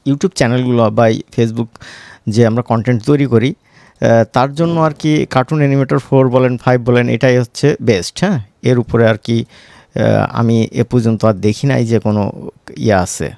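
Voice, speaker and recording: A man's voice: medium pitch, steady tone, normal speed, creaky, darkish tone, no echo. A man with a Bangladeshi Bengali accent giving an informal tutorial, conversational and often checking in with short question tags. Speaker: tutorial instructor. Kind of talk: informal screen-recorded software tutorial.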